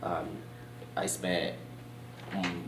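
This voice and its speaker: A low-pitched man with a blended Nigerian and British accent tells his story unhurriedly, filling pauses with ums and soft laughs. He sits some way from the mic, and the gym gives his voice a roomy echo over a faint hum. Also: level tone. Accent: mixed Nigerian and British. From born in Boston, raised in Nigeria. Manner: easygoing storyteller, many fillers and soft laughs. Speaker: gym owner, guest. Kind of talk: casual interview. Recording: roomy distant sound in a gym.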